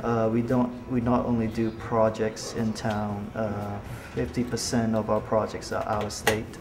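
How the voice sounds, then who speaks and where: A man in his forties from Malaysia, an architect talking casually in an interview, relaxed and hesitant with many fillers, recorded in a bright office hallway. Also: lowish voice, a little slow, noisy background. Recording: music playing underneath.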